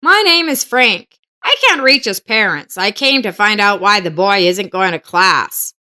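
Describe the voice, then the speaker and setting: A woman's voice: medium pitch, animated, unhurried, bright, forceful tone. A woman voicing a supportive male martial arts coach with a reassuring, emphatic manner in an audio story. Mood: firm.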